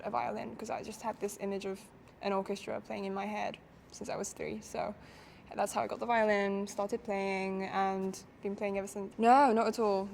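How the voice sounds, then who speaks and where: A young woman from Japan talks through her answers in a relaxed, reflective way, often pausing with fillers and small laughs. Her voice sits at an average pitch for a woman. A faint background noise runs under the recording. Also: gravelly. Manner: thoughtful, casual, laughs often, hesitant fillers. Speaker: musician interviewee.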